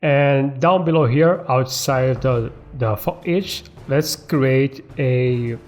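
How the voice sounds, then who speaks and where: Unhurried man teaching code over music. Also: medium pitch, darkish tone. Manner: checks in often with tag questions like okay and all right. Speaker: coding tutorial host. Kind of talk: casual step-by-step screen-recorded coding tutorial. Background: background music.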